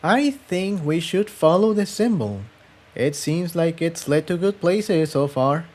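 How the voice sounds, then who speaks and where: A man's voice: fairly high pitch, expressive, unhurried, a little mellow, pitched above the performer's own voice. A man voicing a curious, questioning pony adventurer companion in a story reading, with an inquisitive, light delivery. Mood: hopeful.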